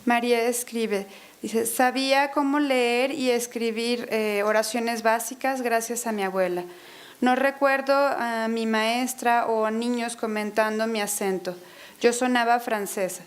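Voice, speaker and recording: Hesitant woman interpreting with a higher voice. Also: fairly even intonation, a little slow. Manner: hesitant, many fillers and self-corrections. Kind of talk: consecutive interpreting of a lecture. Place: university auditorium.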